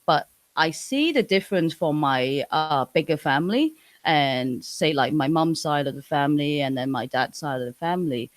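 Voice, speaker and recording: A woman from Hong Kong, a native Cantonese speaker with a Hong Kong English accent, talking casually as an interview guest, pausing and restarting often. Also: low-pitched, expressive, no room sound. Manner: hesitant, many restarts and fillers.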